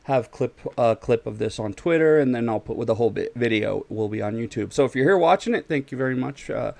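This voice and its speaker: A man's voice: mid-range voice, expressive, a little fast, creaky, a little mellow, dry recording. A man chatting casually as a podcast host, informal and a little hesitant. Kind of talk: casual chat.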